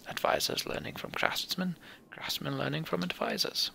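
Casting: a man as pampered young game prince, idealistic, formal, pitched a little up, faint background noise. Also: animated, moderate pace, gravelly, sharp tone, exaggerated voice. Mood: earnest.

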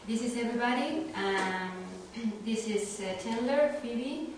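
A woman's voice: on the high side, a little slow, lightly acted. Woman reading as wry caring young woman, spanish accent, distant mic, lots of echo. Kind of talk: amateur dramatized script reading. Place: wooden-floored room indoors. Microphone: far camera. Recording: echoey room, distant mic, faint noise.

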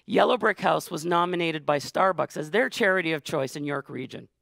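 A Canadian man with a high, strained voice emcees a charity auction, hoarse and raspy from hours of talking. He rattles off donor names and totals with relentless hype. The sound is close and dry, from a studio stage. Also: a bit bright, dry recording. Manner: rapid hype, calling out donors. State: hoarse, losing voice. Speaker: gala auctioneer and host. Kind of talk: high-energy live fundraising emcee. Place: studio stage with blue curtains.

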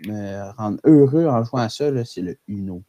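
A man's voice: on the low side, expressive, moderate pace, warm tone. A young man with a Quebec French accent chatting casually and emphatically, repeating himself and swearing now and then. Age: young adult. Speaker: podcast panelist. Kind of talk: casual group chat. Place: small room at home, video call. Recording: noisy video-call audio with clicks.

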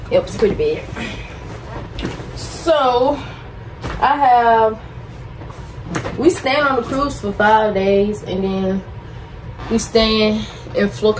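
A woman's voice: mid-range voice, darkish tone, a little hiss or hum, poor audio. A young woman, chatting casually to her phone in her bedroom, rambling with lots of fillers. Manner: laid-back, rambling, many fillers. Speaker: vlogger. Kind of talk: casual vlog chat to camera. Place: bedroom at home, indoors. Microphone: phone held or propped nearby.

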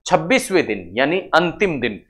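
A male teacher with a slightly high voice gives a classroom lecture. He explains step by step, putting questions to his students and answering them himself. Key phrases come back again for emphasis. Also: sing-song, normal speed, fairly bright tone. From India. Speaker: teacher for competitive exam classes. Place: indoor studio classroom in front of a digital board. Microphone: clip-on lapel microphone.

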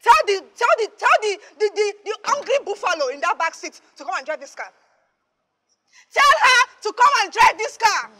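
A woman playing a hot-tempered, money-proud Nigerian woman in a comedy skit, scolding in loud, indignant, repeated phrases with a Nigerian accent. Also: very high voice, sing-song, normal speed, no room sound, clean studio sound, full character voice. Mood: indignant ranting.

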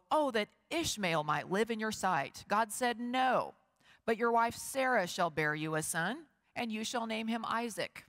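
A middle-aged woman pastor reading scripture and preaching, with a measured, expressive delivery and slow emphatic pauses. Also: medium pitch. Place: large wood-paneled church sanctuary. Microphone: lectern and stand microphone, far camera. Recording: long church hall reverb.

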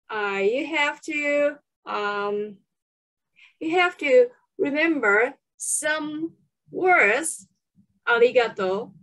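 A woman with a Japanese accent speaking slow, hesitant English on a webinar video call, pausing often to find words. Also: fairly high pitch, fairly bright tone, low-quality recording. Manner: hesitant, many fillers, searching for English words. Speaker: webinar panelist. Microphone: video call laptop mic.

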